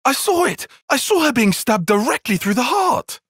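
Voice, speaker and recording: Casting: young military strategist for a game, earnest and formal, husky edge, wide expressive pitch, dry studio sound. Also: male voice, no room sound, full character voice. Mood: distressed. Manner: precise, formal, measured phrasing. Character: young male soldier tactician, analytical, formal, earnest. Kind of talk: video game cutscene voice acting.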